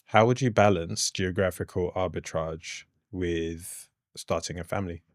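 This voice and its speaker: A man hosting an interview show, asking curious questions in a relaxed way. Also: low voice, a little slow, dry recording, studio quality. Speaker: podcast host. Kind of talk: conversational interview.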